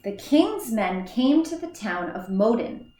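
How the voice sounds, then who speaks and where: A woman teacher reads a children's story aloud, unhurried and deliberate, breaking the text into small phrases. Now and then she trips and restarts a word. Her voice carries a noticeable echo from the room around her. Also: fairly high pitch, sing-song, mellow. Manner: slow, careful read-aloud with small slips and restarts. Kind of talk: reading a children's book aloud. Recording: echoey room.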